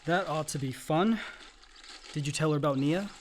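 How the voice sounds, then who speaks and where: An actor playing a dutiful but resentful son, matter-of-fact and curt, in a naturalistic radio play. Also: male voice, fairly high pitch, moderate pace, a little hiss or hum, close to an everyday voice.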